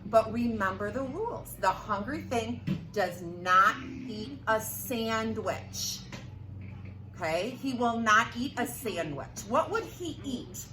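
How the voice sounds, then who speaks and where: Animated, high-voiced woman teacher, echoey room. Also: slow pace, distant mic. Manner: expressive, prompting questions to children. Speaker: teacher reading aloud. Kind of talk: animated read-aloud to young children. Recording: roomy echo with clear background noise.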